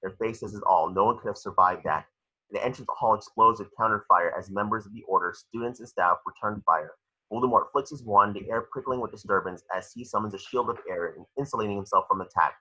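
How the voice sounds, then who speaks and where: Bright, husky low-voiced man narrating. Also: fast, muffled recording. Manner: stumbles over words while reading. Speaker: narrator reading stage directions. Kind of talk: amateur screenplay table read narration.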